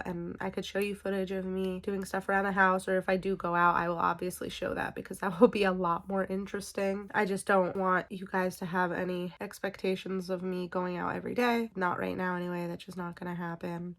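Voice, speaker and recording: Young woman, congested and slightly raspy, gravelly and monotone, casual vlogger at home. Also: lowish voice. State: congested and a little raspy from illness. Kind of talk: casual vlog update to camera.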